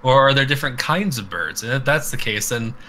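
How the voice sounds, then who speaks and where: Male podcast co-host, mid-pitched and very bright, jokey and sweary, slight noise behind him. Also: lively intonation, a little fast. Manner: rambling, jokey, mock-earnest, swears freely. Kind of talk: casual live podcast chat.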